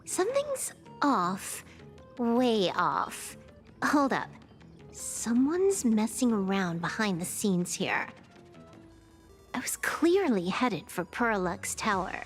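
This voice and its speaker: A woman's voice: medium pitch, big pitch swings, slow pace, soft background music. A woman playing a cocky, playful young hacker in a video game, nonchalant and teasing as she drawls. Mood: suspicious, assertive. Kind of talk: scripted video game character acting.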